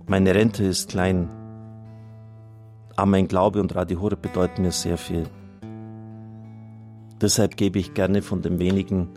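A deep-voiced priest at the microphone of a religious radio fundraiser, earnestly reading out listeners' letters while a guitar plays faintly underneath. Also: male voice, darkish tone. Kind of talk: reading out listener testimonials and appealing for donations on air.

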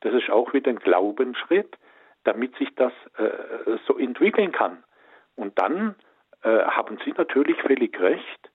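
A man's voice: mid-range voice, expressive, unhurried, breathy edge, no echo. Reassuring male counselor on a tinny line. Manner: warm, reassuring, gently emphatic. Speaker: pastoral counselor, studio guest expert. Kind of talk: advice on a call-in show. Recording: dry, tinny phone-like line.

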